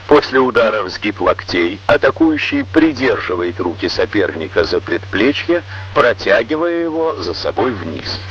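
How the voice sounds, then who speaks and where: A man reading scripted instructional narration in a formal, measured, didactic way, on an old Soviet educational film soundtrack. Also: medium pitch, a bit bright, lo-fi sound, a little hiss or hum.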